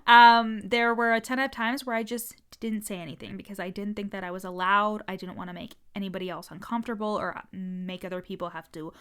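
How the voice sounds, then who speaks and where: A woman's voice: medium pitch, flat delivery, brisk, few pauses, a bit bright, clean tone. A woman business coach talking frankly in a casual podcast monologue, swearing freely, with a stutter.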